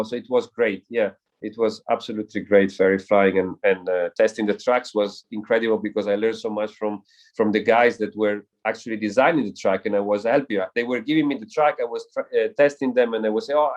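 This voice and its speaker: A man's voice: lowish voice, fairly even intonation, brisk, darkish tone. A man speaking as an air race pilot in a casual interview, earnest and reflective, who often stumbles and repeats words while searching for them.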